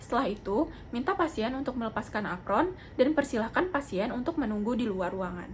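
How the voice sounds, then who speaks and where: A young woman with a fairly high voice explains a procedure step by step. She is formal, polite and methodical. Faint noise sits behind her voice. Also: lively intonation, a little fast. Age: young adult. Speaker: dental student demonstrating a procedure. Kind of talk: instructional narration with role-played patient consultation. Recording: clearly noisy amateur video sound.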